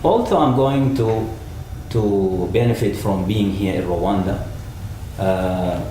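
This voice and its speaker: A man's voice: on the low side, takes it slowly, dark tone. Teacher, man, earnest, repeats himself; distant and echoey. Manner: earnest, repeats his points. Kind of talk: panel discussion answer. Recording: echoey room, mic far off, noisy.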